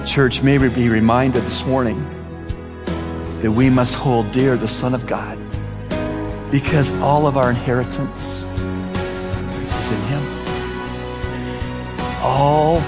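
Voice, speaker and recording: A man's voice: medium pitch, fairly even intonation, slow pace, long pauses, in a large hall, over loud music. A male pastor preaching to his church, telling an illustration in an earnest storytelling manner. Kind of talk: sermon.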